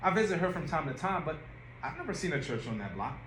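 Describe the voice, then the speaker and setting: A man's voice: on the high side, very animated, quick pace, fairly bright tone, pitched above the performer's own voice. A man playing a guarded young new hire at a cafe in an audio play read-through, polite, hesitant and wary. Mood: polite, nervous. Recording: echoey room with background noise.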